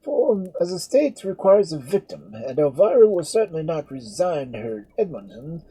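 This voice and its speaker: A man voicing a calm, grave priest with a breathy voice and measured delivery. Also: on the high side, pitched above the performer's own voice, poor audio.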